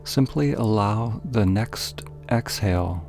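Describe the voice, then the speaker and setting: A man narrating a guided meditation in a calm, gentle, deliberate way, leaving pauses between phrases. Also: on the low side, creaky, faint music behind.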